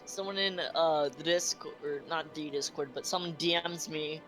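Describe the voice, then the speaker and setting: A speaker with a Midwestern accent chatting casually on a stream, hesitant and halting. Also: medium pitch, a bit bright, faint music behind, poor audio. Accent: Midwestern American. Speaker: stream co-host. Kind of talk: casual chat.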